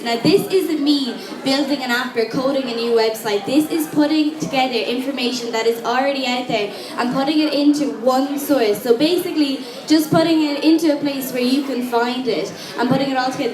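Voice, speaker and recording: Young Irish woman, student candidate, frank casual delivery; high voice, roomy echo with crowd around. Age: young adult. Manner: casual, frank, quick with asides. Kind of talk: campaign speech. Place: lecture room with an audience. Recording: echoey room with crowd noise.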